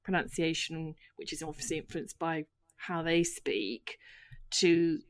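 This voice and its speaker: Low-voiced woman interviewer. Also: unhurried, no room sound. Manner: hesitant questions with fillers. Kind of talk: interview questions.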